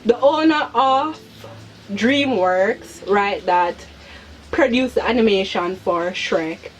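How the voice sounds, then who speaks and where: A woman's voice: mid-range voice, animated, takes it slowly, soft background music, poor audio. A young adult woman hosting a chatty video for her viewers, with a hyper, giggly manner and emphatic repetition of words. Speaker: video channel host. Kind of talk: chatty personal commentary to viewers.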